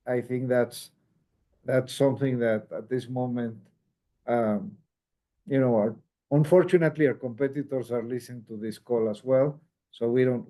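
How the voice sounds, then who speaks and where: A man speaking as a company executive, answering questions on an earnings call in a measured, explanatory way and repeating phrases for emphasis. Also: mid-range voice, mellow.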